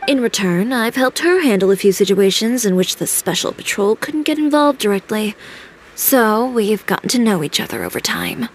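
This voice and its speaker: A woman playing a guarded, confident young fashion designer in a video game, speaking in a brisk, matter-of-fact way. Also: mid-range voice, very animated, slight background noise, close to an everyday voice.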